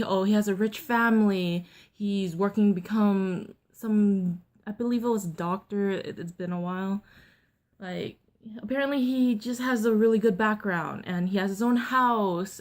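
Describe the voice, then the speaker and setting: A young woman with a voice deeper than most, talking straight to camera in a relaxed storytime vlog. She tells it bluntly and candidly, with plenty of fillers, at a middling pitch. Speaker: vlogger.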